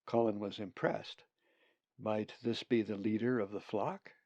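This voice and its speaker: A man narrating an audiobook of his own story in a measured storyteller's manner. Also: on the low side, fairly even intonation, darkish tone, no echo, muffled recording. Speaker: author reading own book.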